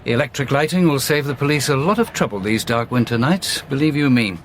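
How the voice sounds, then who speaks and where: An actor playing a confident, opinionated Victorian police inspector in a radio drama, humming and then pronouncing firmly. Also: male voice, medium pitch, fairly quick, natural voice. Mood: businesslike, assured. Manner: hesitant hums, assertive pronouncements. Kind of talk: period radio drama acting. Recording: clear background noise.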